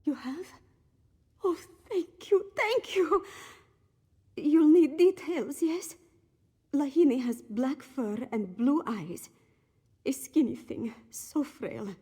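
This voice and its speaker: A woman voicing a worried, grieving cat-person mother in a fantasy video game, with a husky, plaintive delivery. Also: high voice, lively intonation, slow, long pauses, dark tone. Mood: hopeful, grateful. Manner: plaintive, speaks of herself in third person. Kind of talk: scripted video game dialogue.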